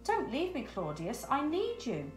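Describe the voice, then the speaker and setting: An inquisitive little boy penguin from a storybook, acted out by a woman reader, pitched high with a pleading edge. The room rings around the voice. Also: huge pitch swings, a little slow, reverberant, faint music behind, exaggerated voice. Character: Otto, curious young male penguin chick.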